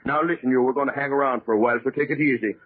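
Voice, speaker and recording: Man playing a scoffing, husky old-radio cop. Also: mid-range voice, very fast, lightly acted. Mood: dismissive. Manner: dismissive, colloquial, bossy. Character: gruff, scoffing, slangy male beat cop. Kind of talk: 1930s radio drama acting. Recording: muffled, tinny old radio recording.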